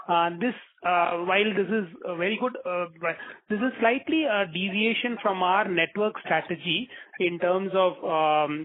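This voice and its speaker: A man asking questions as an analyst on an earnings call, with hesitant, halting phrasing. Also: high-pitched, slight background noise. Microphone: telephone conference call. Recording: narrow tinny phone line.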